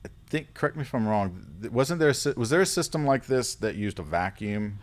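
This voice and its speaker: A man's voice: medium pitch, expressive. A man hosting a casual interview, feeling his way through questions with frequent restarts. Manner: halting, searching questions with restarts. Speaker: interviewer, host.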